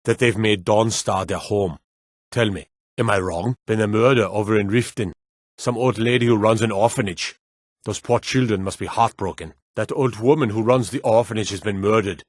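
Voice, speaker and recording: An actor playing a gruff town guard in a fantasy video game, speaking in terse, emphatic, gossipy remarks. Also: male voice, on the low side, normal speed, dry recording, clean studio sound, close to an everyday voice. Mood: wary, gossiping. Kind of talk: fantasy game character barks.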